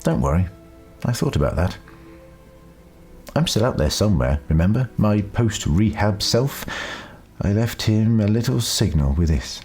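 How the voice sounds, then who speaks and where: A low-pitched, world-weary alien doctor, as a male narrator plays him, speaks with wry reflection. He is a retired time traveller in an audio story, with music behind him. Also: animated, unhurried, pauses often, darkish tone. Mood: reassuring.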